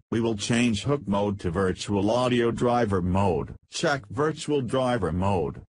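Guy reading a software how-to script step by step, lowish husky voice. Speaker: tutorial narrator. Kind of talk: scripted software tutorial narration.

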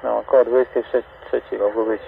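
Gravelly man over tinny CB radio. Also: lowish voice, flat delivery, brisk. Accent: Polish, Greater Poland. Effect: CB radio transmission. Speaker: amateur CB radio operator. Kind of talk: casual radio chat. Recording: tinny, noisy CB radio reception. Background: radio static.

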